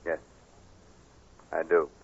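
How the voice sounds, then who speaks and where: An actor playing a grave, guilt-laden older surgeon, measured and solemn, in 1940s radio drama style. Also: male voice, slight background noise, close to an everyday voice. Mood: cool, ominous. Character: grave older male surgeon, a guilty but principled murderer. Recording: muffled 1940s radio recording.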